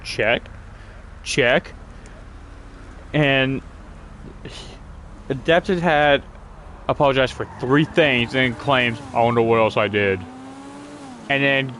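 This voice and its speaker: A man's voice: medium pitch, fairly bright tone, a little hiss or hum. A man hosting a casual talk, retelling grievances in an aggrieved, repetitive way. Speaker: channel host. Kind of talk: casual complaint chat.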